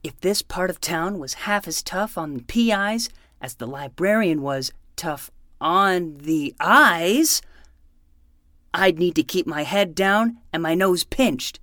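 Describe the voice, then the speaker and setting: A woman's voice: fairly low pitch, expressive, slow pace, a bit bright, dry recording, clean studio sound. A woman playing an earnest twelve-year-old boy who talks like a grandiose noir detective, sometimes slipping into childish pleading, in a fiction podcast. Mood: brooding noir narration.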